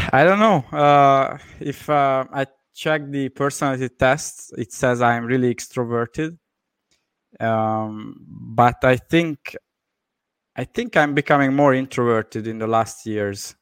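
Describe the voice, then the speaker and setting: A man hosts a casual interview in a smooth, clear voice at a middle pitch. He speaks hesitantly with plenty of "yeah" and "um", recorded close and dry. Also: slow pace, no room sound. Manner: relaxed, hesitant, frequent "yeah" and "um". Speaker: host, interviewer.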